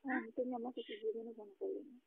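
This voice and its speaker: A young woman arguing on a phone call, indignant and accusing, her voice often jumping high. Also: fairly even intonation, rapid-fire, gravelly, heavy background noise. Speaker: caller in a phone argument. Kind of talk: heated phone argument. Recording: tinny phone call recording.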